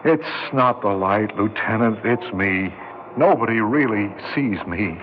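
A man's voice: lowish voice, unhurried, close to an everyday voice. An actor playing a meek, anxious, lonely man in his thirties in a 1960s radio drama, hesitant and stammering. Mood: nervous, meek. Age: 30s. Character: meek, lonely, anxious thirty-eight-year-old nobody under arrest. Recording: muffled 1960s radio recording, faint noise.

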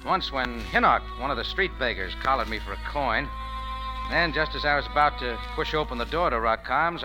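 An actor playing a wry, hard-boiled American bar owner in a 1940s radio noir, deadpan and wisecracking. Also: male voice, mid-range voice, natural voice, low-quality recording. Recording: old 1940s radio recording with music.

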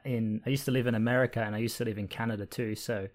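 A man from Australia, with an accent softened by years abroad, hosting a casual interview over a video call and asking measured follow-up questions. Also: fairly low pitch, steady tone. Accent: mixed Australian. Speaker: podcast host.

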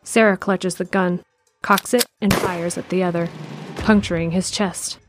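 Narrator, female: calm steady read, lowish pitch, faint music bed. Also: unhurried. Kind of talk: scripted drama narration.

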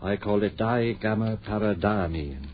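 An actor playing an obsessed, intense and commanding surgeon in a 1940s radio horror drama. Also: male voice, fairly low pitch, measured pace, soft background music, lightly acted. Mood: focused, clinical. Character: obsessed surgeon bent on raising his dead wife. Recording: muffled, noisy 1940s radio recording.

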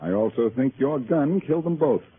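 An actor playing a self-assured, wisecracking amateur detective in 1940s radio drama, with wry, unruffled banter. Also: male voice, medium pitch, animated, normal speed, slightly rough. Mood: cool, accusing. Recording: noisy narrow-band old-time radio recording.

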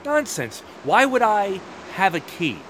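Proud male wall guard played by a man, defensive and emphatic, very animated pitch, noisy recording with hiss and rumble. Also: unhurried, exaggerated voice. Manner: emphatic, argumentative. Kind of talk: one-act audio play acting.

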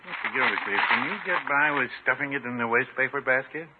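An actor playing a dry, mild older druggist with a husky, deadpan delivery in a 1950s radio sitcom. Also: male voice, mid-range voice, heavy background noise, lightly acted. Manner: deadpan, unhurried. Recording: tinny 1950s radio recording.

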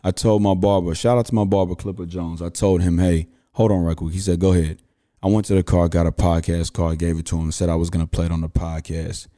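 A Texan man in his thirties hosts a podcast, telling stories in a loose, rambling way full of slang and fillers. His voice sits very low, with a dark, mellow tone. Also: little pitch movement, fast talker. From Houston, Texas. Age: 30s. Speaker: podcast host. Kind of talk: casual podcast talk.

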